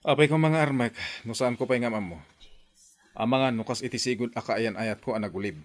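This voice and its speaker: A man voices a guarded uncle who is wary of trusting people. He speaks in an ordinary voice at a middle pitch, in a plain radio-drama style. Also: brisk, natural voice. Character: man, wary uncle caring for his niece. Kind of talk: Ilocano radio drama acting.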